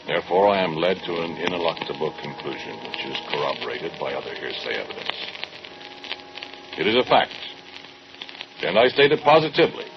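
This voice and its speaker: Very deep-voiced, pompous scientist. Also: male voice, very animated, brisk. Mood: pompous, musing. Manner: pedantic, pompous, declamatory. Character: proud, obsessive male scientist, coldly rational, defensive doctor. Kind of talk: 1940s radio horror drama acting. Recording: noisy, muffled old radio drama recording.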